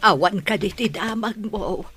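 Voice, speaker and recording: A woman's voice: medium pitch, measured pace, fairly bright tone, natural voice. An actress playing an anxious, sorrowful old grandmother in a radio drama.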